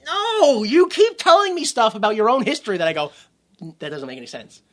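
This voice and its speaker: A man's voice: very high-pitched, wildly swooping pitch, fairly quick. A man co-hosting a podcast, chatting casually about a film, rambling, swearing freely and acting out lines he quotes. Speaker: podcast co-host. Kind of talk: casual movie review chat.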